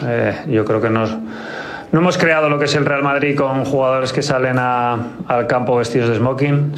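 A man speaking as a football coach at a press conference, measured and deliberate. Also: mid-range voice.

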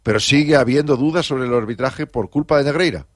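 A man, a radio presenter arguing a sports opinion, fast and indignant. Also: mid-range voice, no echo, studio quality.

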